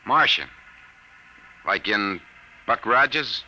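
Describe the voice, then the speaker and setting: Wisecracking hard-boiled private eye, deep, very forceful, sardonic, slangy, vintage radio drama with faint noise. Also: male voice, lively intonation, slow, exaggerated voice. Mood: incredulous. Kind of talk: 1950s radio drama acting. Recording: noisy old 1950s radio broadcast recording.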